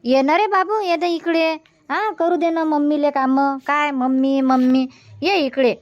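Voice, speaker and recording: A woman's voice: high voice, fairly even intonation, a little slow, no echo, lo-fi sound. A woman voicing a homely older village mother-in-law in a cartoon comedy, chatty and instructing, with a Varhadi Marathi accent. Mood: coaxing. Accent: Varhadi Marathi from Vidarbha. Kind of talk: amateur cartoon comedy dubbing in village dialect.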